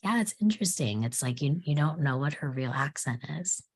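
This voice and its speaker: A woman's voice: sits low, animated, a little fast, darkish tone, breathy edge. A woman talking in a casual group chat.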